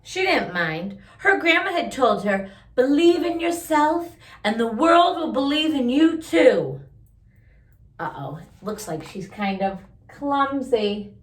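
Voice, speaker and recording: Animated woman reading a children's storybook. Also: sits high, echoey room. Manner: expressive, drawn-out emphasis, chatty asides. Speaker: children's story-time host reading a picture book aloud. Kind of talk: animated read-aloud storytelling with asides to young listeners.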